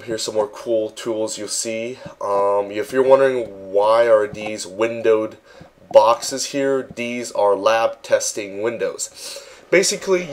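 A man with a fairly low voice guides listeners through a virtual space in a relaxed, meandering way. He pauses often with ums and uhs as he goes. A faint hiss sits under the recording. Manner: relaxed, filler-heavy, halting with frequent ums. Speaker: virtual world tour guide. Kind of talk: casual narrated walkthrough.

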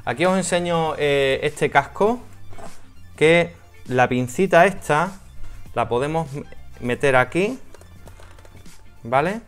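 A man's voice: fairly high pitch, lively intonation, slow pace, pauses often, clean tone, soft background music. A man in his thirties with an accent from Spain, talking casually and a bit hesitantly through a hands-on product review. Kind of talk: casual unboxing and installation walkthrough. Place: small home office room.

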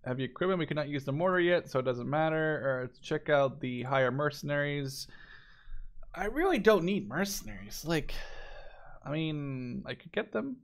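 A man in his twenties talks through a video game in a fairly high voice. His commentary is casual and rambling, with sentences that interrupt themselves and loose, sweary language. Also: expressive, normal speed. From Canada or the Netherlands. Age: 20s. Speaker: gaming video creator.

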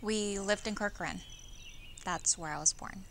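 A woman's voice: mid-range voice, moderate pace, a bit bright, a little hiss or hum, lightly acted. A young woman acting as a plainspoken daughter of a big working family, reminiscing conversationally. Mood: matter-of-fact reminiscence. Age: young adult. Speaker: actor in an oral history dramatization. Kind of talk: dramatized conversational monologue.

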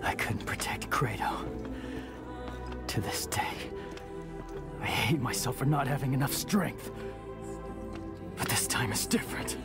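A man with an American accent playing a brash, guarded young demon hunter in a video game cutscene, gruff and earnest. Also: mid-range voice, measured pace, pauses often, loud music behind the voice, close to an everyday voice. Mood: low, earnest. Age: young adult.

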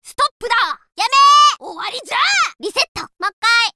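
A woman voicing an energetic, cheerful teenage tomboy schoolgirl drummer for a Japanese anime game, with boyish casual speech and punchy short phrases. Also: very high-pitched, quick pace. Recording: faint noise, game audio rip with clicks.

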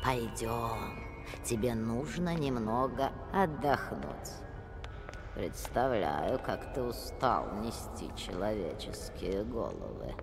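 A sinister old witch in a cartoon film, drawling with menacing courtesy. The delivery is extremely slow and swings widely in pitch. The voice rings through a long cavernous reverb with clear music behind it. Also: very animated, full character voice. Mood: mocking. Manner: slow, insinuating, mock-polite drawl. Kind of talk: theatrical animated film acting.

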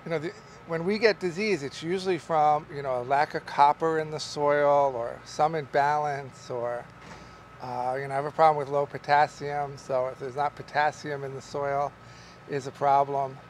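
Middle-aged farmer rambling in a greenhouse. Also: male voice, on the high side, normal speed, a little hiss or hum. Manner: relaxed, rambling, with many fillers and restarts. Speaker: market farmer teaching growing. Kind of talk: casual on-camera farming lesson. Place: large greenhouse among tall tomato plants. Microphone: camera at a distance, wide shot.

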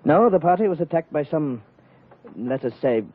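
An actor playing a brisk, courteous British colonial police lieutenant in an old radio adventure. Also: male voice, on the high side, animated. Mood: brisk briefing. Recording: muffled old radio recording.

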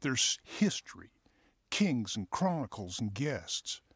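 A man's voice: mid-range voice, big pitch swings, takes it slowly, a bit bright, husky, dry recording, heavily acted. Fatherly counselor, earnest, preachy, dry close sound. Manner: earnest, teaching. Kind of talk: radio drama acting.

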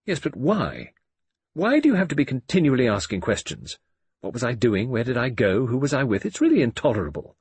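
A man voicing a defiant twenty-one-year-old woman who speaks in a sharp, indignant, curt way. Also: medium pitch, huge pitch swings, fairly quick, breathy edge, no echo, pitched above the performer's own voice.

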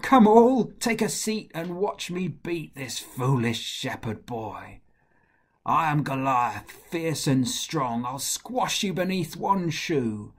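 A man's voice: medium pitch, slow pace, breathy edge. A man voicing a fierce, proud giant warrior who boasts and taunts in rhyme, in a children's storybook style. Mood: mocking, menacing. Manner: boastful, taunting, singsong rhyming delivery.

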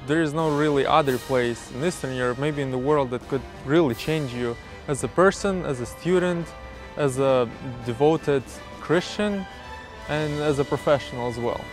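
A man's voice: mid-range voice, normal speed, over music. A man, a student, speaking earnestly in a documentary interview.

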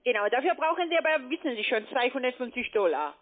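A woman with a foreign accent giving a phone sales pitch as a call center agent, pushy and blunt. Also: very animated, fast talker. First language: not German. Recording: tinny narrow phone line.

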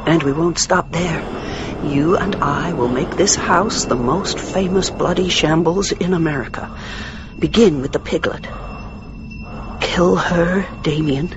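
An actor playing a gloating, seductive demon in a radio drama, breathy and commanding, savouring words in a dull, noisy recording. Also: male voice, on the high side, a little slow, lightly acted. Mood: gloating, coaxing.

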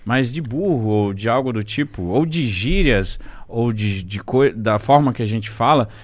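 A man's voice: medium pitch, expressive, measured pace. A man, hosting a podcast in casual chat, frank and slangy with lots of swearing. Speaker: podcast host. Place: podcast studio. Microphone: studio mic on boom arm.

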